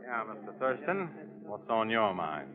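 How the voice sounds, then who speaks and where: Matter-of-fact pilot, muffled radio. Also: male voice, on the low side, big pitch swings, measured pace. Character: brisk, matter-of-fact male charter pilot. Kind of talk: vintage radio drama acting. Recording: muffled old radio, busy background.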